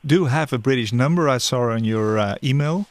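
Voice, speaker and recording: A man whose first language is Dutch interviews a guest in English, his voice mid-pitched and ordinary. He often repeats a word or two before his questions come out. The recording is dry and close. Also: moderate pace, no room sound. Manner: hesitant, repeats words while forming questions.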